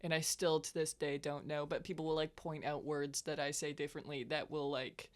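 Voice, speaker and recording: Low-voiced giggly young woman. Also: level tone. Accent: Western American. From US West Coast. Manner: giggly, quick to laugh, with frequent fillers. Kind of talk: casual chat.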